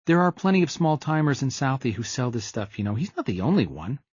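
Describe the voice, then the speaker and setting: A man's voice: mid-range voice, sing-song, fast, darkish tone, slightly rough, no room sound, lo-fi sound. A male narrator voicing a jittery, fidgety man who keeps pleading to be trusted. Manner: pleading, insistent.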